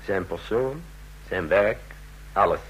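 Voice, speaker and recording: An actor playing the curt, authoritative chief of a fascist secret police in a vintage radio play. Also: male voice, low-pitched, slow pace, fairly bright tone, breathy edge, full character voice, low-quality recording. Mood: cool, authoritative. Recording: old radio recording, hum and hiss.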